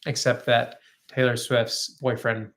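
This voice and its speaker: A man's voice: mid-range voice, level tone, takes it slowly, fairly bright tone. A man in his thirties co-hosting a casual live chat, reading viewer comments in an upbeat, talkative way with easy laughs. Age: 30s. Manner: chatty and upbeat, laughs easily. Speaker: co-host of a live chat stream. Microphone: phone front camera.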